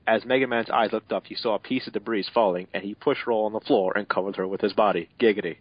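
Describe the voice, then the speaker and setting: A man's voice: on the low side, fairly even intonation, fast, slightly rough, no room sound. A young man giving an amateur dramatic reading of fanfiction, stumbling over the text and adding sarcastic asides. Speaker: fanfiction reader and commentator. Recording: dull, slightly muffled home recording.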